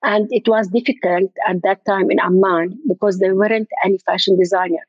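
An older woman from Jordan talks through an interview haltingly, pausing to find her words. Her voice sits at a middle pitch. It comes over a dull, muffled line in a dry, close sound. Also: steady tone, slightly rough, no room sound. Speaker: event designer, guest. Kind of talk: interview conversation.

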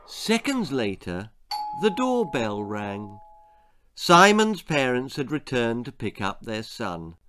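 An older man reading a children's horror story aloud in a deliberate, theatrical way. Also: medium pitch, huge pitch swings, noisy background. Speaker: author reading his own children's story. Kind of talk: dramatic storytelling, scripted narration. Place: small book-lined room indoors.

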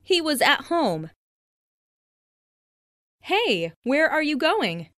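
Slow, lively woman reading phrases. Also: sits high, no echo, clean studio sound. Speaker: language lesson narrator. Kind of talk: scripted reading of English practice phrases.

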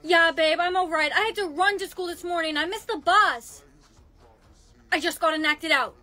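A woman's voice: very high voice, moderate pace, bright, forceful tone. A woman acting a melodramatic young woman in a comedy skit, overwrought and pleading, with music playing behind her. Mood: playful, pleading. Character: melodramatic young woman caught in party-game drama.

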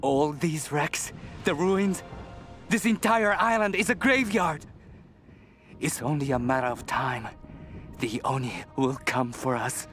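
An actor playing a terrified, doomed Japanese soldier in a video game, speaking in an anguished, halting way. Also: male voice, a little high, a bit bright, soft background music. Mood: haunted, ominous. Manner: halting, haunted, anguished delivery. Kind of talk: dramatic video game diary narration.

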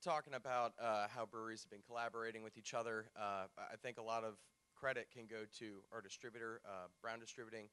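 A man's voice: on the low side, fairly even intonation, fast, few pauses, bright, forceful tone, clean tone. A man on a discussion panel, speaking as a new brewery owner in an easygoing way with fillers.

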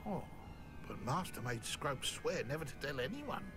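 A man voicing a timid, servile male house-elf who stammers and speaks of himself in the third person, with a gravelly edge. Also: mid-range voice, sing-song, normal speed, over music, close to an everyday voice.

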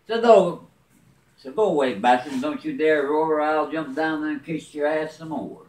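A man voicing a cheeky, boastful male monkey who taunts in high, mocking sing-song, recorded in a roomy space from a distance. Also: normal speed, darkish tone, natural voice. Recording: roomy, mic far off.

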